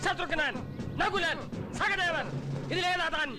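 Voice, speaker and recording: A man's voice: very high-pitched, slow, over loud music. A man playing a blustering, bullying policeman in a film comedy, scolding and sarcastic. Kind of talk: Malayalam film comedy dialogue.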